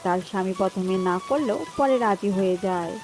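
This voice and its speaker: A woman's voice: mid-range voice, brisk, background noise. A woman narrating a scripted children's cartoon story as its storyteller. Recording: music behind the voice.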